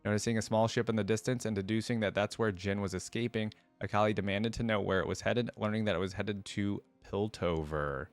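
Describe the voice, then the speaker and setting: A man hosting a reaction video, reading aloud and breaking in with casual, curious commentary as he thinks out loud. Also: on the low side, steady tone, brisk, few pauses, soft background music. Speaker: host of a lore reaction video.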